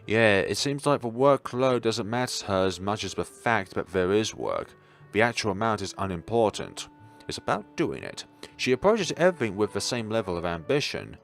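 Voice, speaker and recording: A young man with a fairly low voice reads a visual novel aloud for a let's play. His intonation is very lively, with small stumbles and casual asides. Soft music plays behind him. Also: normal speed, vocal fry. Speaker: let's player.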